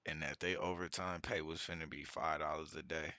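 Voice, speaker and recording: A man's voice: extremely low pitch, flat delivery, a little fast, bright, forceful tone, dry recording. A man streaming his reactions to news clips, blunt and profane, in loose casual slang.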